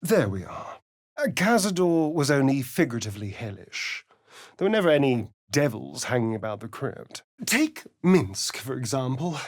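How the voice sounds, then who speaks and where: A man's voice: medium pitch, very animated, normal speed. An actor playing a vain, sardonic young-looking male vampire in a video game, with an arch, theatrical manner. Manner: arch, sly and theatrical, drawling. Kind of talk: game voice acting.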